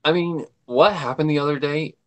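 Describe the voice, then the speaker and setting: A man's voice: fairly high pitch, normal speed, fairly bright tone, slight background noise. A man joining a livestream as a guest over an online call, chatting casually and repeating himself as he rambles.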